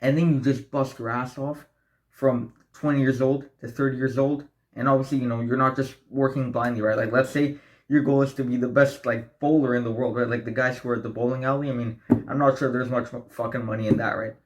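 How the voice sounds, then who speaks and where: A man's voice: medium pitch, little pitch movement, brisk. A young man of eighteen giving blunt, profane self-improvement advice straight to camera, often calling the viewers guys and asking right. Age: young adult. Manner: blunt, profane, preachy motivational talk. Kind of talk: direct-to-camera advice vlog.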